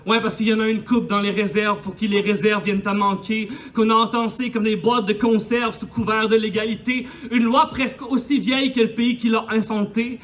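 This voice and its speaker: A man's voice: high-pitched, little pitch movement, fairly quick, hardly any pauses, fairly bright tone, slight background noise. A man with a Quebec French accent reciting impassioned spoken-word poetry in a colloquial, declamatory way at a public celebration. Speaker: poet. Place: outdoors in a park.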